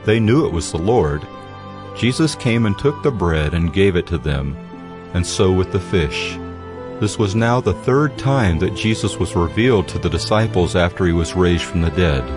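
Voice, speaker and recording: A man narrating scripture from a script in a measured, solemn way. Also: low voice, lively intonation, music in the background. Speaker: Bible narrator.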